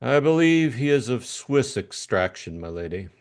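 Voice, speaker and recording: A man voicing a deferential butler of an English estate in a formal, measured, obsequious manner. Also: medium pitch, very animated.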